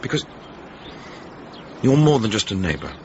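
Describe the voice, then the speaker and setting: An actor playing a buttoned-up English gentleman in a radio drama, proper, slightly pompous and hesitant. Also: lowish voice, very animated, normal speed. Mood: awkward, tender. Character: fussy, buttoned-up English gentleman neighbour, awkwardly fond. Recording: slightly dull, clearly noisy background.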